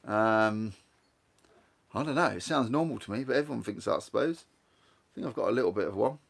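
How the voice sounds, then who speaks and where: A man's voice: on the low side, expressive, a little fast, vocal fry, slight background noise. Chatty man with a Guernsey accent. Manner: rambling, chatty, reads viewer comments aloud. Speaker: livestream host. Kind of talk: casual live-stream chat.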